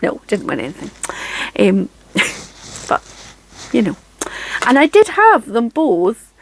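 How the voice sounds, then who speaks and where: Chatty Scottish woman with creaky voice. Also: fairly high pitch, huge pitch swings, takes it slowly, a little hiss or hum, rough recording. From Scotland. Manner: chatty, self-deprecating, with frequent ums and soft laughs. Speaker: craft channel host. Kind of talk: casual chat to camera. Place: home craft room.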